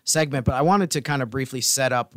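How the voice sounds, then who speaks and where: A man co-hosting a casual sports podcast, talking in a measured, explanatory way. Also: mid-range voice, dry recording, studio quality.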